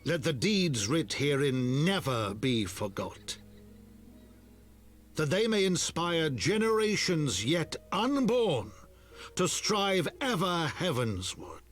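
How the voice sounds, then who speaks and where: An actor voicing a grand, solemn chronicler of heroic legend in scripted video game narration. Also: male voice, on the high side, sing-song, slow pace. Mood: solemn, hopeful. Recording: music under the voice.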